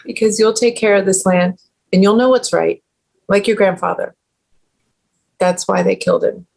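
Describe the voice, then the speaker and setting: A woman reading the part of an aging, fiercely protective ranch grandmother at a screenplay table read, in a hushed, firm voice. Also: mid-range voice, fairly even intonation, moderate pace, vocal fry. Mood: tender resolve.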